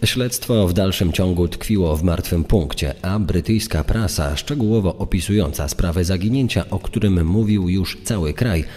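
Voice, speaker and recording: A man's voice: low-pitched, darkish tone, close to an everyday voice. A man playing a grave, factual reader of a crime report in formal scripted narration. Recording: long reverb, music underneath.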